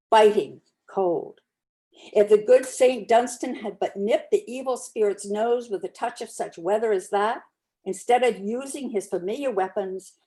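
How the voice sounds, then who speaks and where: A woman reads a classic story aloud as narrator. Her voice sits at a medium pitch, and she phrases each passage deliberately and expressively, in a dramatic storybook style. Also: mellow.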